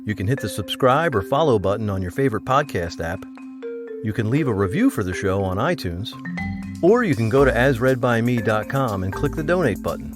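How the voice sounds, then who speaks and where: A male podcast host delivers a scripted sign-off in a mid-pitched, very lively voice. Marimba music plays behind him. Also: a little fast.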